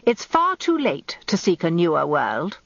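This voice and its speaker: A woman playing a brisk, tart older wife in a radio drama, answering with crisp, firm retorts. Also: on the low side, wildly swooping pitch, a bit bright, no room sound, muffled recording, exaggerated voice.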